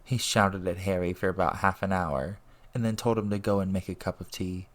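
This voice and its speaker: A man's voice: low voice, flat delivery, brisk. A man reading a story aloud as narrator, in scripted audiobook style. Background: music and ambience.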